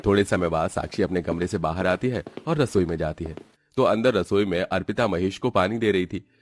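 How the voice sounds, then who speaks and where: A man's voice: deep voice, a little fast, slight background noise. A man giving scripted narration for a cartoon family story.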